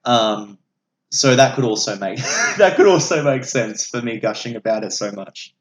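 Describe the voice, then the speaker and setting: A man's voice: mid-range voice, animated, moderate pace. A young man co-hosting a podcast with casual, jokey, sweary film banter. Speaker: podcast co-host. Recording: echoey room.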